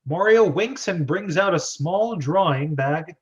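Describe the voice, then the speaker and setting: Guy narrating screenplay stage directions, voice a bit high, kinda dull audio. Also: expressive, measured pace. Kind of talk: dramatic script reading, narration.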